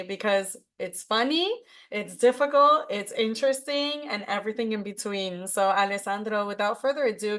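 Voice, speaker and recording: Woman, podcast host, upbeat, casual chat, mid pitch. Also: fairly bright tone. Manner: upbeat, enthusiastic.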